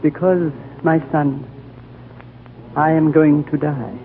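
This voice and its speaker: An actor playing an ancient, wise and gentle high lama with a solemn, fatherly, oratorical manner, in the style of 1940s radio drama. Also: level tone, takes it slowly, noisy background. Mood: grave, tender. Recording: tinny 1940s radio recording over music.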